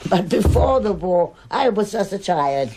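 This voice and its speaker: A woman's voice: medium pitch, very animated, unhurried, noisy background. An actress playing a stubborn, judgmental older woman in a radio drama. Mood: surprised, chatty. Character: stubborn, defensive old German woman, gossipy neighbour.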